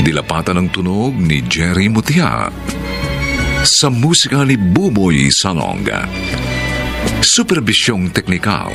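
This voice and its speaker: Deep, sweeping Filipino male radio announcer. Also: creaky. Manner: formal, theatrical. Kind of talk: scripted announcing, classic radio drama sign-off. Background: music.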